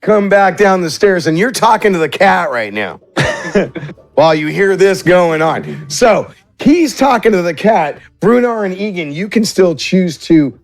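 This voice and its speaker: A man narrates a tabletop role-playing game as its game master. His voice sits a little high and his delivery is casual and improvised. The sound is dry, with faint music underneath. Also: very animated, a little slow, no echo. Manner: casual, swears, acts out characters. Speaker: game master and show host.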